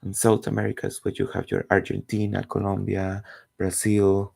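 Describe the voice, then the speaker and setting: Gravelly Costa Rican man in his forties. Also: on the low side, monotone, moderate pace, a little mellow. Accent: Costa Rican Spanish. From Costa Rica. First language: Spanish. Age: 40s. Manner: rambling, searching for words. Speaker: comic artist streaming his drawing. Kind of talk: casual chat while drawing.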